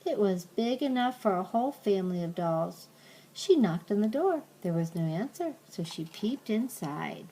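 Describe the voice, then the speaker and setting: An older woman reading a storybook aloud like a grandmother, with gentle, simple asides to a young listener. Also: medium pitch, expressive, normal speed, slight background noise, low-quality recording.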